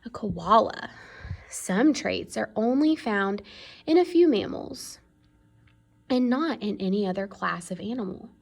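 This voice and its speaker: Woman doing a read-aloud science lesson, mid pitch, bit of vocal fry, stresses the key words. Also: expressive, measured pace. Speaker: reader reading a children's science book aloud. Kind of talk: read-aloud educational narration.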